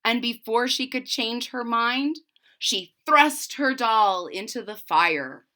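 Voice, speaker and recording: A woman reading a children's story aloud expressively and deliberately, pausing to explain it simply to young listeners. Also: fairly high pitch, bright, forceful tone. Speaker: storybook reader.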